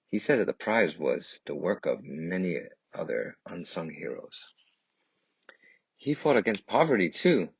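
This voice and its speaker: A man reads a children's picture book aloud in a husky voice, with expressive, emphatic and dramatic phrasing. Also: on the low side. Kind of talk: expressive read-aloud storytelling. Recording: dull, lo-fi, echoey room.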